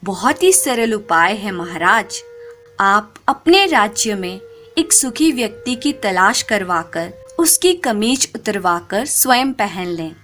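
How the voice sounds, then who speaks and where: A woman as a deferential, slow-spoken royal courtier. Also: medium pitch, a bit bright. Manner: respectful, earnest, persuasive. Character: deferential male royal courtier offering earnest advice.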